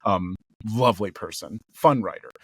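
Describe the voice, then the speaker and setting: A male voice actor chatting in an interview. He is cheerful and excitable, lifts his voice into a higher register with a gravelly undertone, and laughs often. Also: normal speed, no room sound, studio quality. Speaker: voice actor guest. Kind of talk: casual interview chat. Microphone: headphones and a home recording mic.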